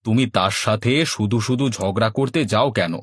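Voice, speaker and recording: A man's voice: fairly low pitch, moderate pace, natural voice. A man playing a weary village husband in a cartoon, exasperated and placating. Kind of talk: Bangla cartoon dialogue.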